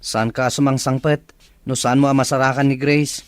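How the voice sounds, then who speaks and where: Actor as grumbling demanding husband, mid-pitched male voice, radio drama, light background music. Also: level tone, normal speed, no echo, lightly acted. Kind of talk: radio-style drama acting.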